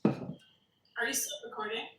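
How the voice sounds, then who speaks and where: A woman's voice: slow, slight background noise. A woman introducing herself casually as a reader at a table read. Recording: echoey, distant from the mic.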